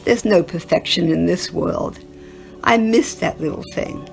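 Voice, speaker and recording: A woman's voice: lowish voice, a little slow, vocal fry, faint music behind. A woman reading first-person recollections aloud as a narrator. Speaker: reader of written testimonials.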